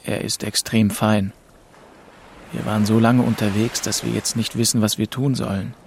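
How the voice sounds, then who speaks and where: A man's voice: fairly low pitch, flat delivery, moderate pace, gravelly, a little mellow, a little hiss or hum. A man acting a melancholy, drifting twenty-two-year-old who narrates his travels introspectively in a literary radio drama. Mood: brooding, reflective. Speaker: narrator and lead actor. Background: music under the voice.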